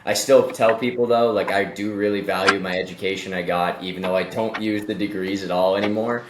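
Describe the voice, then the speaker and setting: A man's voice: sits low, steady tone, brisk, echoey room, noisy background. A man in his late twenties hosting a casual remote interview, upbeat and encouraging, chatty with fillers, on a video call. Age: late 20s. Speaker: interview host, company executive.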